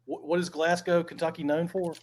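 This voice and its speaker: A man's voice: a little high, little pitch movement, brisk. A man hosting a podcast, joking with his guest and asking short questions while laughing along. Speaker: podcast host. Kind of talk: casual interview chat.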